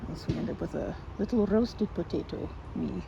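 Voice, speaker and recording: A woman's voice: lowish voice, moderate pace, gravelly, background noise. A woman playing a timid, sweet, rambling young woman in an ASMR roleplay, speaking to the listener with shy, hesitant stammers. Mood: bashful, chatty.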